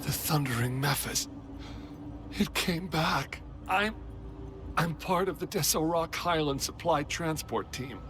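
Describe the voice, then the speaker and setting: A man playing a wounded, exhausted, fearful supply soldier in a game cutscene, halting and struggling for breath. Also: a little high, background noise. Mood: shaken, exhausted. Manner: halting, strained. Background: music.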